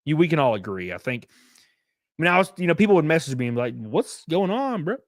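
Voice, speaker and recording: A man's voice: a little high, very animated, fast talker, no room sound, clean studio sound. A man streaming casual commentary, reading chat messages aloud and rambling with fillers, a bit sniffly. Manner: rambling, lots of fillers and restarts.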